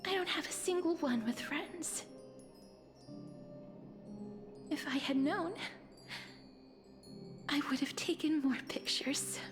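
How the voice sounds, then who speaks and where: A woman playing a gentle, wistful young noblewoman in a video game, speaking softly and formally with a breathy tenderness. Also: sits high, measured pace. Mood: regretful. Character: gentle, wistful, soft-spoken young noblewoman, quietly earnest. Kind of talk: video game voice acting, reflective monologue. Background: game music.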